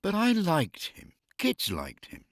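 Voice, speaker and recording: A man's voice: high voice, huge pitch swings, takes it slowly, no room sound, studio quality. A middle-aged or older man chatting casually and humorously, telling anecdotes in a wry way and often amused by his own jokes. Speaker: expatriate living in Finland.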